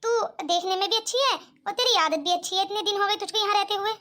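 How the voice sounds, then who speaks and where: A woman's voice: very high-pitched, quick pace, pushed and bright. A woman voicing a kindly, coaxing middle-aged mother in an amateur cartoon comedy skit.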